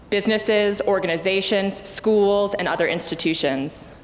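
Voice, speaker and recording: A woman who works on city food policy, giving a steady, scripted civic presentation. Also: on the low side. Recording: roomy hall reverb, faint noise.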